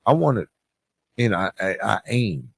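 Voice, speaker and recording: A man's voice: lowish voice, sing-song, measured pace, vocal fry, husky. A man hosting a podcast, giving motivational advice in an earnest, conversational monologue, repeating phrases for emphasis. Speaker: podcast host. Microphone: close podcast microphone.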